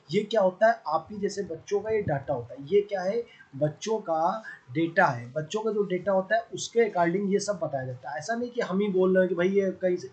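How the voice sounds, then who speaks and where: Male coaching teacher, North Indian accent, high-pitched voice, explains conversationally and keeps checking in with listeners. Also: poor audio. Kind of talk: informal explanatory lesson.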